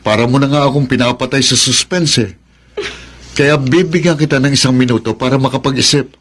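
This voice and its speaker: A man's voice: mid-range voice, a little fast, fairly bright tone, lightly acted. An actor with a Filipino accent playing a heartbroken, impatient young man in a radio drama, wounded and emotional. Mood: impatient, teasing.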